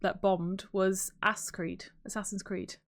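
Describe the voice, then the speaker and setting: A woman's voice: medium pitch, flat delivery, a little slow. A woman co-hosting a podcast, chatting casually about films and games. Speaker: podcast co-host.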